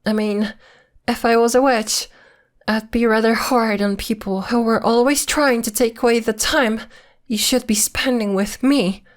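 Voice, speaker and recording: A woman's voice: fairly high pitch, steady tone, measured pace, natural voice. A woman playing a possessive girlfriend who is secretly a witch, coy and sweetly menacing, in an ASMR roleplay. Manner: coy, teasing, veiled threats in a sweet tone.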